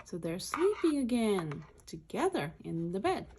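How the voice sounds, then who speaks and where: A woman's voice: mid-range voice, big pitch swings, measured pace, darkish tone, a little hiss or hum. A woman reading a children's picture book aloud in short, simple phrases, adding explanatory asides.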